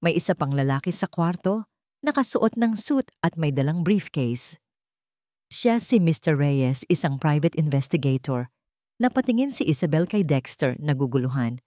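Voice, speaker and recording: Filipino woman, dramatic storyteller, lively intonation, dry close sound, scripted romance narration. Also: sits low, normal speed, dry recording.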